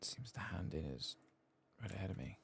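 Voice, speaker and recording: A man giving soft-spoken ASMR commentary on a game, sometimes dropping into a whisper. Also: deep voice, animated, normal speed, creaky. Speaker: gaming ASMR streamer. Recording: faint keyboard and clicking noise.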